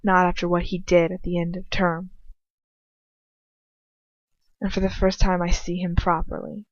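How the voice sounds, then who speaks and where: A woman's voice: lowish voice, level tone, fairly quick, dry recording. Woman reading a story, dull lo-fi sound. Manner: informal, stumbles and corrects herself. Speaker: amateur fan fiction reader. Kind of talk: audiobook-style story reading with casual asides.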